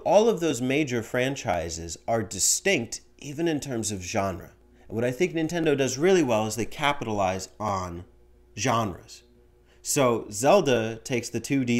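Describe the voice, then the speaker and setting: Animated young man chatting at his desk mic. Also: medium pitch. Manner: animated, rambling with fillers, gesturing. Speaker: host. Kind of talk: casual chat answering viewer voicemail. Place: small home room with bookshelf and window. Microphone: studio mic on stand in front.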